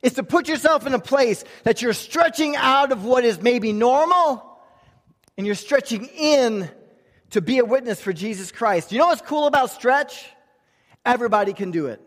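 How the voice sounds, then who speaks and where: A man's voice: very high-pitched, lively intonation, normal speed, fairly bright tone. A male pastor preaching a church sermon in an emphatic, conversational way, with rhetorical questions and repeated phrases. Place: large room, preaching to a congregation. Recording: reverberant hall sound.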